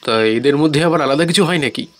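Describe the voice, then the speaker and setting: A man's voice: a little high, fast, big-room sound, a little hiss or hum, lightly acted. An actor playing a curious, puzzled man who listens to a ghost story and questions it, in an audio drama.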